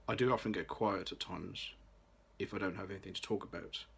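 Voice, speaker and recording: Low-voiced young man, bright tone. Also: fairly quick, vocal fry, slightly rough. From Birmingham, England. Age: late 20s. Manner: careful, read-aloud delivery with occasional restarts. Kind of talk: scripted personal vlog.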